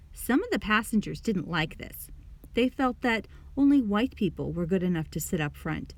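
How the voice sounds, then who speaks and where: A woman reading a children's story aloud in an expressive storytelling manner, addressing young listeners. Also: mid-range voice. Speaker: storybook reader.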